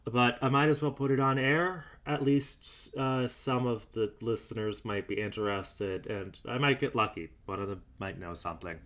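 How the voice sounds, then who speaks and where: A man's voice: mid-range voice, normal speed, few pauses, a bit bright, lightly acted. A man playing a weary, doubtful investigator in an audio drama, dictating private logs in a hesitant, dryly skeptical, musing way. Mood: musing, resigned. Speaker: investigator and show host character.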